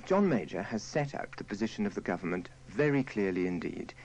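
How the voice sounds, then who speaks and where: A man's voice: fairly low pitch, lively intonation, brisk, darkish tone. A man speaking as an interviewed politician, insistent and emphatic. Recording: dull lo-fi broadcast.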